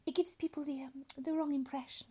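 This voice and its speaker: A woman's voice: high voice, steady tone, brisk, dark tone, voice pushed up, natural voice. A woman voicing a polite, prim, officious female receptionist with a proper, slightly hesitant manner in a story reading. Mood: hesitant disapproval. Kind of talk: short story dramatic reading.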